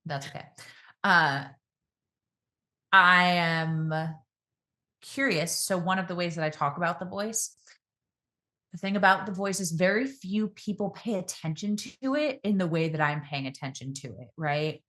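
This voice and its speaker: A woman speaking as a podcast guest and speaking coach, calm and reflective, slowing down and shifting her voice to demonstrate. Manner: calm, varies pace and pitch to demonstrate voice.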